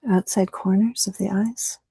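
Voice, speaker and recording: A woman working as a tapping practitioner, calling out tapping points in short, soft cues and then explaining at length with self-corrections. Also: mid-range voice, little pitch movement, unhurried, creaky, husky, dry recording.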